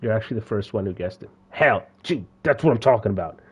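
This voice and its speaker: Casual male streamer, deeper voice, loose and giggly, chatting mid-game. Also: lively intonation, fast, husky, muffled recording. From India. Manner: laid-back, laughs often, repeats words. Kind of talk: casual gaming chat.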